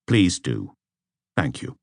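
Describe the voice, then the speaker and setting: A man's voice: very deep voice, sing-song, slow, gravelly, a little mellow, no room sound, studio quality, pitched below the performer's own voice, full character voice. A man voicing a terse, understated police detective chief inspector in an audiobook. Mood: low-key.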